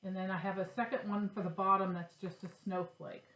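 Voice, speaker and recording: A woman's voice: mid-range voice, flat delivery, a little fast, a bit bright. A woman with a stuffy, nasal voice from a cold, chatting casually to her viewers about her craft projects. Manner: chatty, upbeat, shows her stitching. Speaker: cross-stitch vlogger. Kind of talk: casual chat to camera. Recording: echoey room.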